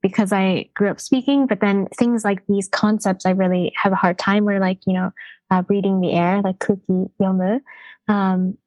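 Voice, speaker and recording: A woman's voice: medium pitch, fairly even intonation, moderate pace, a little mellow, no room sound, low-quality recording. A woman from Canada, a guest in a casual interview, speaking thoughtfully with many hesitations and fillers. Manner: thoughtful, hedging, frequent "um" and "like".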